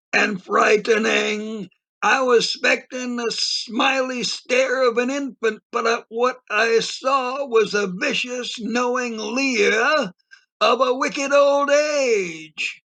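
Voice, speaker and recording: A man playing a rambling, easily spooked beachgoer who tells his tale in dramatic, drawn-out audio play narration. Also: sits high, creaky, bright, forceful tone, heavily acted. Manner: theatrical, drawn-out emphasis.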